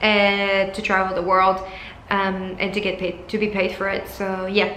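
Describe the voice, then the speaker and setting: A woman's voice: medium pitch, monotone, a little fast, sharp tone. A woman in her twenties from France, a flight attendant chatting casually to camera in English, French being her first language, with lots of fillers and restarts. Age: 20s. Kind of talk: casual talking-to-camera vlog. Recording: echoey room with faint background noise.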